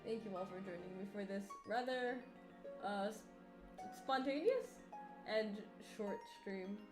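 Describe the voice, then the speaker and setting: A woman's voice: medium pitch, expressive, a little slow, reverberant, over music. A woman in her twenties chatting casually on a livestream while drawing, rambling, tired and yawning, swearing offhand and making self-mocking jokes. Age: 20s. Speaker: artist livestreamer.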